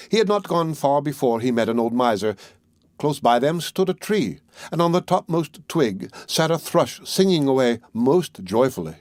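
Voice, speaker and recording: A man's voice: mid-range voice, a little mellow, dry recording. A man reading a fairy tale as a scripted audiobook narration.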